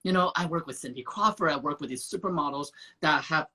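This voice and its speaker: A man's voice: fairly high pitch, fast talker, bright, forceful tone, husky. A man from Taiwan hosting a casual interview, chatting and sharing his own stories. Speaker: host.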